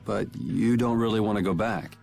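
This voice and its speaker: An actor playing a laid-back, sardonic young rebel in a video game, speaking casually and dryly. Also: male voice, lowish voice, steady tone, moderate pace, creaky, darkish tone, faint music behind. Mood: wry, casual. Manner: casual, wry, dry.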